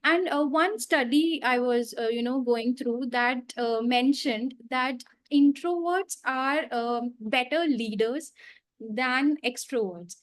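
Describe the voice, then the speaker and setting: Higher-pitched woman interviewing. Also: takes it slowly. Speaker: podcast host. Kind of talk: conversational interviewing.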